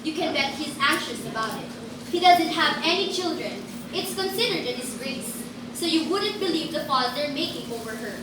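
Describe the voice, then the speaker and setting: High-pitched, indignant girl in an echoey room, acted by a woman. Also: animated, normal speed. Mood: indignant, urgent. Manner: emphatic, argumentative. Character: outspoken, indignant girl defending women and babies. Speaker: student performer in a reader's theater. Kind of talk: amateur reader's theater dialogue. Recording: echoey room, far from mic, noisy.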